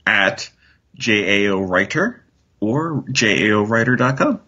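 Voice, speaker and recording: A man talks casually as a guest in a fan discussion. His voice is fairly deep and rough, with slightly muffled sound. He circles back over his phrases as he thinks aloud. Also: animated, a little slow, pushed and bright. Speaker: podcast guest, author.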